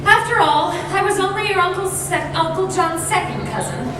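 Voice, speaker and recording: Woman acting a very high-voiced polite lady, echoey. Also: animated, moderate pace. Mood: politely reassuring. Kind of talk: 1940s radio drama acting. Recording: distant, very echoey noisy hall.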